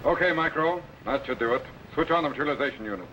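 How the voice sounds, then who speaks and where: An actor playing a confident, commanding time-travelling captain in a brisk, businesslike manner. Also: male voice, medium pitch, expressive, close to an everyday voice. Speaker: lead character. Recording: noisy old radio recording.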